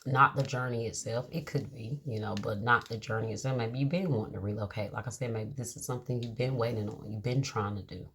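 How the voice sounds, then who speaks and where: A woman giving a casual tarot reading to her viewers, reading card meanings aloud slowly and checking in with a reassuring "okay?". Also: rumbling low, steady tone, a bit bright, slight background noise. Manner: drawn-out, reassuring, many "okay?" check-ins. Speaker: tarot reader.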